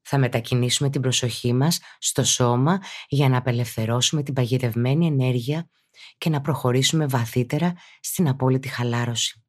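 A woman speaking as a yoga teacher and podcast host, guiding a meditation in a calm, instructive, deliberate way. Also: a bass voice. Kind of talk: guided meditation narration.

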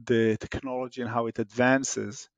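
A man's voice: mid-range voice, little pitch movement, normal speed, dry recording. A man from Israel with an Israeli accent, a startup founder talking animatedly in an interview, restarting often with fillers. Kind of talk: casual interview talk.

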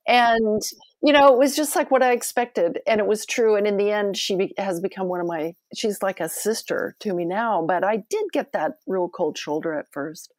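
A woman with a soft voice, a guest telling animated stories in a casual interview. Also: medium pitch, moderate pace, no echo.

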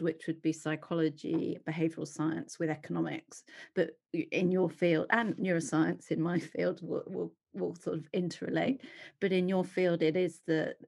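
Woman interview host, low gravelly voice with fry, hesitant and curious. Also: darkish tone. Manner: hesitant, many restarts, curious. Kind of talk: conversational interview.

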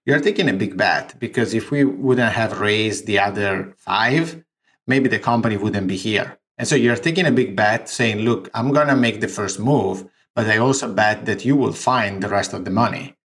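A man's voice: fairly low pitch, normal speed, fairly bright tone. A man from Italy with an Italian accent, a startup founder talking through his fundraising in an interview, explaining figures step by step. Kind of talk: recorded interview.

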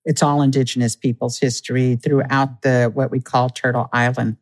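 A man's voice: medium pitch, flat delivery, normal speed. Earnest man explaining steadily. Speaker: guest, Native community organizer. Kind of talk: conversational interview.

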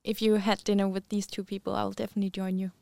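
A woman's voice: medium pitch, level tone, fast, creaky, dark tone, no echo. A woman hosting a company podcast interview, upbeat and chatty, with frequent laughs and "uh" fillers. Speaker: podcast host.

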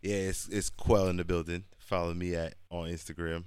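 A man's voice: low voice, flat delivery, normal speed, darkish tone, smooth, dry recording. A young man co-hosting a casual podcast, rowdy and slangy, laughing often. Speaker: podcast co-host.

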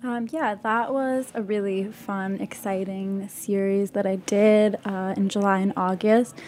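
A woman's voice: mid-range voice, steady tone, unhurried, darkish tone. Thoughtful woman chef as a guest. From Palestine. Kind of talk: casual interview.

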